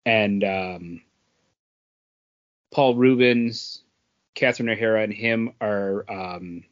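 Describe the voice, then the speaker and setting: Slow-talking man, halting delivery, dull audio. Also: on the low side. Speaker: podcast co-host. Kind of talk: casual chat about movie and comics news.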